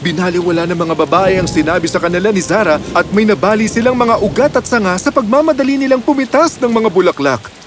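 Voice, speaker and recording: A man's voice: sits high, expressive, fast talker. A man narrating a scripted children's fairy tale with a dramatic storytelling delivery.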